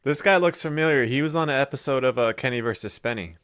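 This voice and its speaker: A man's voice: medium pitch, fast talker, very noisy. A man casually commenting over a comedy video, with offhand asides and swearing. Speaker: reaction video host.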